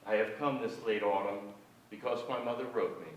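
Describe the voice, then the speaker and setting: Older actor as a reflective son, very echoey. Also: male voice, on the low side, fairly even intonation, a little fast, natural voice. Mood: somber, reflective. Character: reflective son recounting his troubled family. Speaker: actor reading a short story. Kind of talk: literary staged reading. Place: on a theater stage, indoors. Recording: very echoey theater, distant, noisy.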